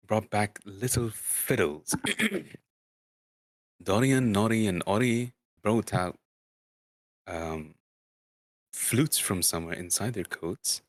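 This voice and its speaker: A man with a slightly low voice gives a dramatic amateur book reading. His pitch moves a lot while his pace stays slow and halting. The recording is dry and close. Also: expressive, no echo, low-quality recording. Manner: halting, stumbling over words. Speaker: streamer reading aloud.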